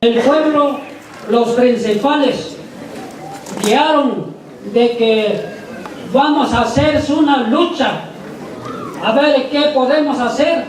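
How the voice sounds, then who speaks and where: Very high-pitched, halting man. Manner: halting, short phrases. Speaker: community speaker. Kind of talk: plain-spoken testimony to an assembly. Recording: echoey, distant, noisy room sound.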